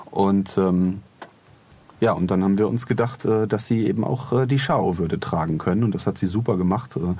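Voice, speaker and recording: A man hosting a podcast in casual chat, rambling along with a halting delivery. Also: low-pitched, darkish tone, slightly rough. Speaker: podcast host.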